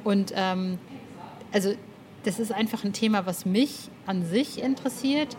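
Female podcast interviewer, mid-range pitch, casual and fannishly keen, slangy phrasing, some noise in the background. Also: unhurried. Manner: enthusiastic, colloquial, fannish. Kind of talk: casual fan podcast chat.

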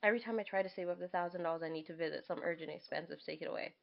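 A woman co-hosting a podcast, chatting casually and reading letters aloud in an expressive, reactive manner. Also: lowish voice, rapid-fire, gravelly, dull audio. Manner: expressive, reacts to what is read. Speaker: podcast co-host.

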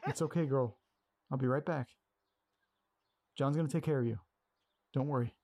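A man's voice: mid-range voice, normal speed, darkish tone, lightly acted. A man playing a nervous, awkward young man. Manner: hesitant, nervous, repeats himself. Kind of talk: audio drama acting.

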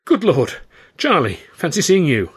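A man's voice: fairly high pitch, huge pitch swings, unhurried, husky, pitched above the performer's own voice, exaggerated voice. Man voicing a wry, needling English vaudevillian. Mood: surprised, awkward. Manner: wry, pointed.

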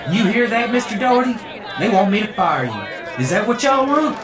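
A man's voice: extremely high pitch, sing-song, fairly quick. A man playing a crafty, greedy revival preacher in an audio drama, showy and folksy, full of rhetoric. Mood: mock outrage. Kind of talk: theatrical sermon in audio drama. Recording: echoey, distant voice with crowd.

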